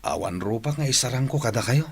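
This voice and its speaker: A man's voice: medium pitch, expressive, fast, a crowd in the background, lightly acted. A man playing an ashamed, apologetic young cousin in an Ilocano radio drama, with an Ilocano accent.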